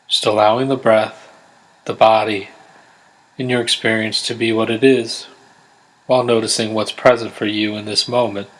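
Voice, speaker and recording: A man guiding a body scan meditation in a gentle, unhurried, measured way, leaving pauses between instructions. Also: on the low side, rough recording. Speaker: meditation guide. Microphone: close USB condenser microphone.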